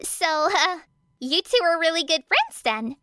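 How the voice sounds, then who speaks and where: A woman voicing a cheerful teenage girl who asks with a bright, hesitant lilt. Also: very high-pitched, big pitch swings, unhurried, no echo, clean studio sound, voice pushed up, heavily acted. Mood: curious. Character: bubbly cheerful teenage girl hero student. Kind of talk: audiobook character reading.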